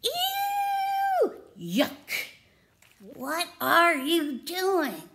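A scornful storybook mole rat, acted out by a woman reader, sarcastic and easily disgusted. The voice leaps high and loud, stretching its exclamations in exaggerated disdain. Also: huge pitch swings, extremely slow, full character voice. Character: scornful, sarcastic crowd of naked mole rats.

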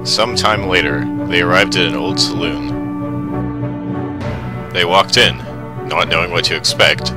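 A man's voice: low voice, a little slow, pauses often. A man narrating an adventure radio drama in a scripted storytelling style. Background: music.

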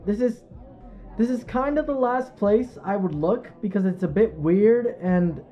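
A young man playing a caring best friend at a party in an ASMR roleplay, talking casually in a halting, rambling way. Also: high-pitched, lo-fi sound. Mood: searching, relieved. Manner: halting, rambling, breathy chuckles. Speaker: roleplay audio performer.

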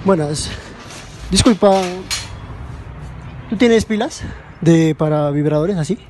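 A man's voice: a little high, slow pace, darkish tone. A young man, pranking strangers in shops and a park in a playful, teasing way, filmed on a handheld phone. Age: young adult. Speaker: prankster vlogger. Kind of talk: street prank vlog. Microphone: handheld phone camera. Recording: noisy street recording.

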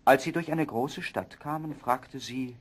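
Husky male fairy-tale narrator. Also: mid-range voice, a little slow. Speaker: storyteller.

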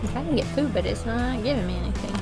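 A woman's voice: a little high, animated, fast, loud music behind the voice. A woman chatting casually and playfully as a mother playing a video game with her children at home. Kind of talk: casual family gameplay chat. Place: indoor room at home.

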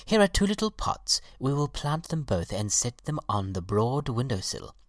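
A woman's voice: a bass voice, sing-song, moderate pace, no echo, studio quality, heavily acted. A woman voicing a kindly, encouraging schoolteacher in a children's story, reassuring and instructive.

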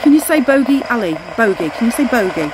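A woman's voice: on the high side, sing-song, fast talker. Woman, very noisy recording. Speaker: paranormal investigator vlogger. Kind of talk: casual ghost-hunting vlog. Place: dark outdoor stone-walled alley at night. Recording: noisy outdoor handheld recording.

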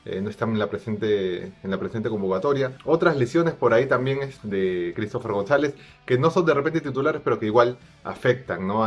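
A man with a Peruvian accent giving informal football analysis to camera, measured and a little hesitant, repeating phrases. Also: medium pitch. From Peru. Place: plain indoor room. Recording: dull lo-fi sound. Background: music.

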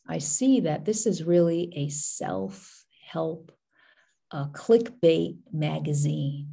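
A woman with a low, gravelly voice shares a long, rambling reflection on a group video call. Her tone is dark and mellow, and she drifts from thought to thought.

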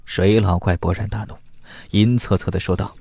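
A man's voice: sits low, steady tone, moderate pace, creaky, a little mellow, breathy edge, no echo. A man reading a fantasy audiobook as narrator, in a dramatic scripted storytelling style.

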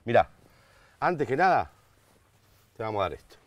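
A man's voice: mid-range voice, very animated, moderate pace, no echo, recorded from a distance. A man in his forties with an Argentine accent, hosting an outdoor cooking show in a chatty, joking way full of slang. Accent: Argentine Spanish. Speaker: host. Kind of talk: casual cooking-show chat. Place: outdoor garden bar.

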